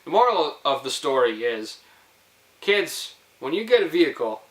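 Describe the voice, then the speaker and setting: A man's voice: fairly high pitch, sing-song, normal speed, echoey room, poor audio. A young man from Canada hosting a casual storytelling video, talking in a playful, rambling, informal way. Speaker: host of a storytelling video series. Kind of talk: casual comic storytelling to viewers.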